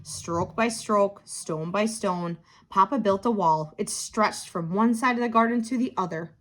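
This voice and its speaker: A woman narrating a picture book for children, expressive and deliberate, lingering on key words. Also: medium pitch, slight background noise. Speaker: tutor reading a children's book aloud.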